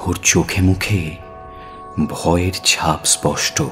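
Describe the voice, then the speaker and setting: A man's voice: sits low, fairly even intonation, slow, a bit bright. A man reading a scripted audio story as a dramatic narrator. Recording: music under the voice. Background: background music.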